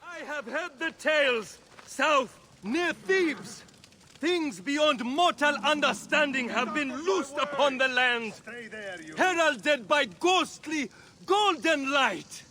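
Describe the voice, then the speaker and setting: A man's voice: very high-pitched, expressive, slow pace, crowd noise, exaggerated voice. A man voicing a shaken adventurer in a game cutscene, telling eerie ancient tales in an ominous storytelling manner. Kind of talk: dramatic game cutscene acting. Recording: echoey long reverb, loud music behind.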